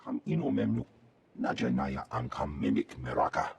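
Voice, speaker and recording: Gravelly, growling alien brute. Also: male voice, rumbling low, very animated, normal speed, noisy background, heavily acted. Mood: menacing. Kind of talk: game creature acting in invented alien tongue.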